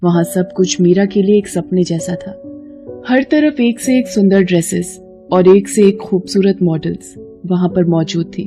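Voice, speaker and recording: A woman's voice: lowish voice, unhurried, mellow, soft background music. A woman narrating a scripted story as its storyteller.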